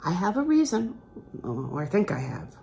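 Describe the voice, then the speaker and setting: Audiobook role: assured, gentlemanly male music master, genteel and animated, read by a woman in a lowish voice. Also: measured pace, creaky, slight background noise, exaggerated voice. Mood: instructing, courteous. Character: courteous, worldly, assured male concert singer and teacher. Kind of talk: storybook character reading from a literary novel.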